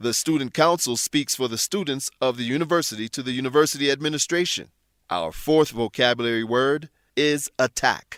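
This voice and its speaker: A man's voice: medium pitch, fast talker, a bit bright, clear, resonant tone, dry recording, studio quality. A man hosting an English lesson, reading scripted explanations in a didactic way with stressed key words. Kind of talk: scripted instructional narration.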